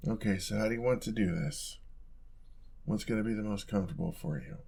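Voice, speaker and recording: A man acts out a young male roommate in a cozy boyfriend-style audio roleplay. His voice sits fairly low with a strong crackle of fry. He speaks softly and casually, affectionate and playfully teasing as he coaxes the listener. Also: fairly quick. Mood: gentle, caring. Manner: soft-spoken, teasing, casual, coaxing.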